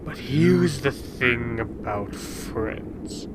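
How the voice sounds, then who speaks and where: A man playing a sadistic, mockingly sweet Frost King in a fantasy audio drama, with a slow theatrical delivery. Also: medium pitch, animated, background noise. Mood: smug, playful.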